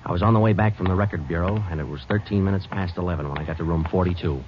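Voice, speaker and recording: An actor playing a terse, weary American detective sergeant in a deadpan old radio crime drama. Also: male voice, sits low, very fast, noisy background, natural voice. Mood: deadpan, matter-of-fact. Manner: clipped, deadpan. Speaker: narrator and lead actor. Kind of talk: 1940s-50s radio crime drama narration. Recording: muffled old radio recording, loud music.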